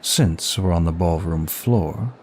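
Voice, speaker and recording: A man's voice: deep voice, slow, darkish tone, a little hiss or hum. A man playing a smug, seductive demon of lust in an intimate ASMR roleplay, with suave, teasing and formal flirtatious phrasing. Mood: coy, suave.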